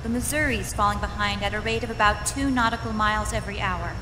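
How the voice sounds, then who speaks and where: An actress playing a composed female military officer, giving a measured, authoritative briefing in a game cutscene. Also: medium pitch, noisy background, lightly acted. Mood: businesslike. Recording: long hall reverb. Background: loud dramatic music.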